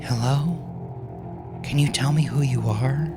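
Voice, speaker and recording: A man's voice: mid-range voice, over music, voice pushed up, close to an everyday voice. A dazed and fragile captive woman, acted out by a male narrator, speaks in a raised voice with a childlike confusion. She halts and stammers as if afraid, in the manner of a horror audiobook character. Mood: bewildered, searching. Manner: halting, stammering.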